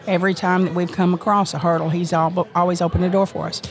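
A woman's voice: on the low side, level tone, fast, faint music behind. An older woman, a small business owner, talking earnestly and proudly as an interview guest. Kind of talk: casual interview.

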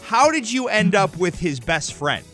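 A male radio host with a fairly high voice and hugely varied, swooping intonation. He banters in a wisecracking, exclamatory way, with light music behind him. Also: big pitch swings, measured pace, pushed and bright.

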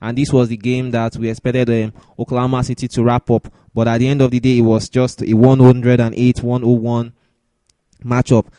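Fast-talking man hosting a sports podcast. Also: medium pitch, warm tone, dry recording, poor audio. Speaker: podcast host. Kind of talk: sports discussion and commentary.

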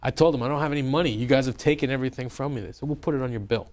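A man's voice: mid-range voice, racing, a little mellow. Dry wry guy telling his story, chatty, keeps restarting his sentences. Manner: wry, conversational, many fillers and restarts. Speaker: guest, journalist and author. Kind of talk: interview, personal storytelling.

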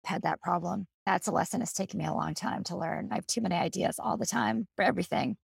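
Creaky woman podcast host. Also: on the low side, fast, no room sound, studio quality. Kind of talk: casual chat.

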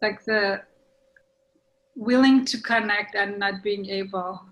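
A woman in a casual, reflective discussion, halting as she searches for words. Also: medium pitch, fairly even intonation, a bit bright, poor audio.

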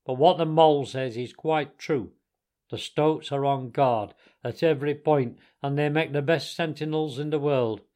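Storybook elder badger, man performing, dry voice, forceful, authoritative, stern yet kindly. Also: fairly high pitch, close to an everyday voice. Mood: gruffly kind. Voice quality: thin, dry. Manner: severe, authoritative, measured scolding. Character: stern, commanding elder badger, gruffly kind.

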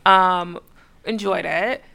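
A woman with a bright, forward voice talks through a casual chat with a friend. She is blunt and emphatic, lands her points hard and swears without thinking about it. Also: lowish voice, steady tone, slow, vocal fry.

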